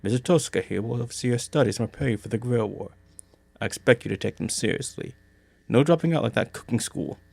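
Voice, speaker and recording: A man's voice: medium pitch, fast talker, gravelly, darkish tone, no echo. A man playing a scheming, dismissive older priest in an anime parody dub, speaking in an officious, matter-of-fact way.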